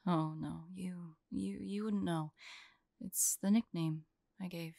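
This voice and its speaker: A woman playing a forlorn, vulnerable young huntress in an intimate audio roleplay, speaking softly and haltingly, often drifting into whispers. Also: on the low side, fairly even intonation, gravelly, no echo, clean studio sound. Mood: wistful, vulnerable. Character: forlorn young woman hunter, tearful, vulnerable, guarded, affectionate.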